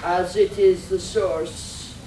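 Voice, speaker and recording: A woman's voice: fairly low pitch, fairly even intonation, mellow. A woman playing a grandiose, mock-serious narrator of a science-fiction radio serial, recorded live. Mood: ominous, grandiose. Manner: portentous, deliberate. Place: live performance at a convention, distant mic. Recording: echoey, distant live hall recording with noise.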